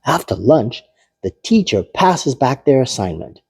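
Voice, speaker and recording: Slow, gravelly man reading a storybook. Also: mid-range voice, big pitch swings, darkish tone, no room sound, clean studio sound. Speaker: children's storybook reader. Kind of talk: animated read-aloud narration.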